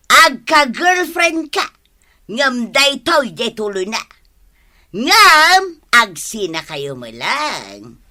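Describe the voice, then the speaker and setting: Radio comedy: brazen scolding fortune-teller, Ilocano accent, high voice, lively swooping delivery. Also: female voice, full character voice.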